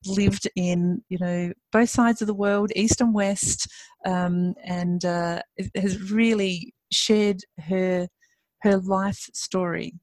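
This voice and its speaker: A woman's voice: medium pitch, a little mellow, no room sound. A woman hosting an interview in an effusive, enthusiastic way. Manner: effusive, enthusiastic, laughs easily. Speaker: podcast host. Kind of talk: upbeat interview.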